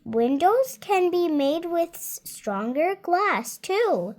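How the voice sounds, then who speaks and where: A woman's voice: very high voice, sing-song, slow, a little mellow. A seven-year-old girl reading a children's nonfiction book aloud carefully, word by word, adding her own small comments. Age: child, 7 years old. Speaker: child reader.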